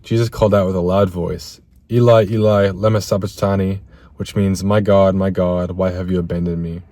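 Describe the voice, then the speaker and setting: A slightly hoarse man talking in an earnest, reflective vlog and reading scripture aloud, halting now and then over repeated words. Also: deep voice, dark tone, dry recording. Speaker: self-improvement vlogger.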